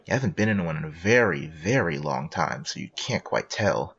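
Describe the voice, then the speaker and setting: A man playing a playful second-person narrator, reading scripted comic narration in a husky voice. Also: deep voice, animated, moderate pace, a bit bright, highs cut off, full character voice. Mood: scene setting.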